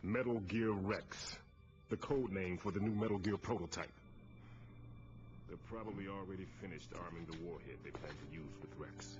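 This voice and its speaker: Man acting a deep-voiced, weary captive official. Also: background noise, close to an everyday voice. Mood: grave, confiding. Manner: grave, deliberate, explanatory. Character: weary, wary male captive government weapons agency chief. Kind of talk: video game cutscene acting. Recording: dull game audio under music. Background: loud music.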